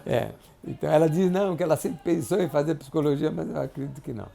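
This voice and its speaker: Gravelly older man recalling family. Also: a little high, mellow. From Brazil. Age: 70s. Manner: halting, reflective, many restarts and tag questions. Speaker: interviewee. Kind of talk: oral history interview. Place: clinic office.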